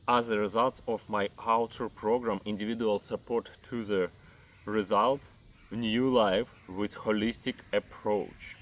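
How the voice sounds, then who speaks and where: A man in his thirties from Ukraine with a Ukrainian accent, speaking English as a second language, giving a measured fitness lesson to camera and listing items one by one. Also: lowish voice. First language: not English. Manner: measured, lists items one by one, non-native grammar. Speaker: fitness coach. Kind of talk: slow explanatory talk to camera.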